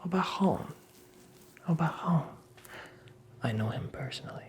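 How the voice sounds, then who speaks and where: A performer voicing a creepy, taunting fairy-tale imp in a whispered ASMR roleplay, with breathy, gravelly, theatrical, mockingly courteous delivery. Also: medium pitch, animated, unhurried, slight background noise, pitched above the performer's own voice, close to an everyday voice. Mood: mocking, indignant.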